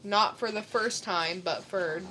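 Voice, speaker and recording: A woman's voice: mid-range voice, a little slow, pushed and bright, a little hiss or hum, low-quality recording. A woman co-hosting a podcast, chatting casually as she reviews films. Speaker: podcast co-host. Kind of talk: casual film review chat.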